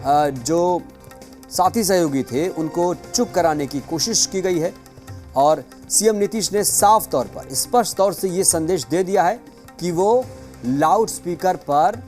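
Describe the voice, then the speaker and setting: A man's voice: high-pitched, darkish tone. A man presenting television news as an anchor, with an emphatic delivery that repeats key phrases. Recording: music bed behind voice. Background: news music.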